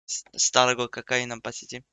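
A man's voice: medium pitch, monotone, a little fast, sharp tone, smooth. A man in his twenties chatting casually and swearing in slang as he streams a game from a small room. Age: 20s. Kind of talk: casual live-stream gaming chat.

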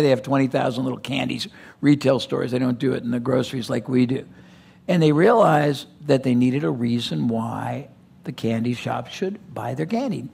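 An older man giving a keynote on a conference stage, talking in an animated way with quick digressions and restarts. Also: mid-range voice, vocal fry, slightly rough. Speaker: keynote speaker. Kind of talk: conference keynote in seated interview format. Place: conference stage before a live audience.

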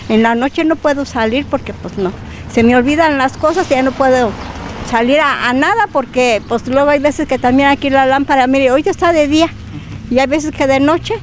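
An older woman with a Mexican Spanish accent, talking in a colloquial, complaining way into a handheld mic outdoors on a street. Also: high-pitched. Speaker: resident interviewed on the street. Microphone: handheld reporter mic. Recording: street noise behind.

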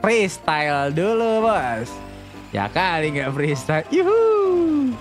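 A man's voice: high-pitched, wildly swooping pitch, slow, soft background music. A man commentating on game roleplay in a slangy, exclamatory way, often repeating words. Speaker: gaming video creator and host.

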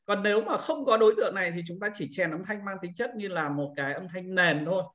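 A man's voice: high-pitched, a bit bright. A man giving an informal screen-recorded tutorial as an instructor, explaining things step by step and often repeating himself.

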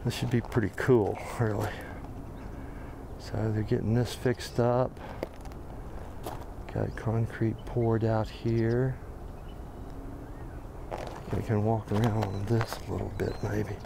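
A man with an American accent walks a construction site outdoors, talking casually to his camera and thinking aloud with plenty of pauses and fillers. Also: lowish voice, little pitch movement, creaky. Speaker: videographer on a walking site tour. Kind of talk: casual walking commentary. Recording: outdoor camera audio with noticeable background noise.

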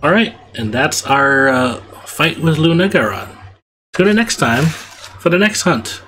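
A man's voice: on the high side, sing-song, a little slow, bright, forceful tone, slight background noise, poor audio. A young man giving casual, laid-back gameplay commentary in a hesitant way, recorded in a room at home. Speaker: game streamer. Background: game music.

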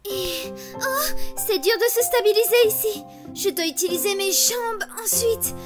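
Woman as a very high-pitched timid anime schoolgirl. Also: unhurried, over music. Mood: straining, panicky. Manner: hesitant, plaintive. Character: timid, anxious schoolgirl afraid of heights. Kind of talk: French anime fan dub.

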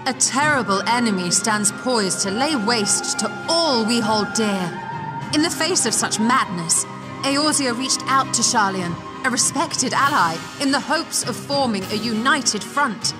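An actress playing a stern, sardonic sorceress, formal and biting, in video game dialogue. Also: female voice, fairly high pitch, expressive, measured pace, over music, low-quality recording. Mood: cold, accusing. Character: stern, sardonic catfolk sorceress confronting a council.

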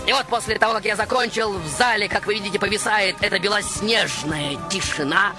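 A man's voice: sits high, fairly quick. A man playing a proud, flamboyant baroque composer recounting his life in a grandiose, ironic radio monologue. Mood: exalted. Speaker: radio show host. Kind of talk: theatrical first-person radio monologue. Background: music behind the voice.

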